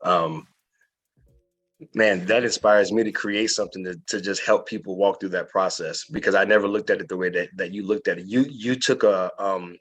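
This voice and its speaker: A man's voice: low voice, steady tone, normal speed, fairly bright tone, muffled recording. A man hosting an inspirational podcast interview, speaking earnestly and emphatically and repeating phrases for effect. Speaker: podcast host.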